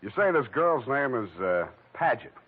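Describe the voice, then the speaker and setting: An actor playing a wry, world-weary American private detective, hard-boiled and clipped, in 1940s radio noir narration and dialogue. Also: male voice, mid-range voice, very animated, moderate pace, slightly rough. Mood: dry, patient. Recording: thin, narrow-band 1940s radio recording.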